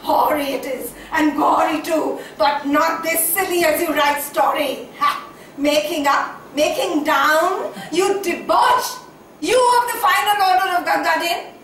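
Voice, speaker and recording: A woman's voice: very high voice, a bit bright. A woman playing an eccentric, passionate, half-mad character in a poetic, declamatory stage monologue. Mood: mocking, manic. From India. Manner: declamatory, poetic, dramatic drawn-out delivery. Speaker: stage actor. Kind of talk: theatrical one-act play monologue. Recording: echoey room, distant mic, faint noise.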